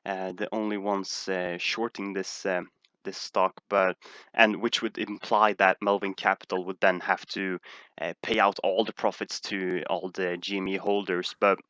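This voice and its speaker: A man with a Swedish accent talks in a low, forceful voice, hesitating often and restarting his sentences. The sound is dry and close. He answers questions in a casual livestream. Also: little pitch movement, moderate pace, no echo. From Sweden. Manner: hesitant, many "uh" fillers and restarts. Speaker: stock market streamer.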